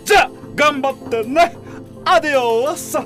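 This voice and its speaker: A man's voice: very high voice, normal speed, over loud music. A man acting in a comedic radio drama as a flamboyant, theatrical consultant who lectures like a peppy showman. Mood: cocky, exuberant. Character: flamboyant, showy male labor-rules consultant, peppy lecturer.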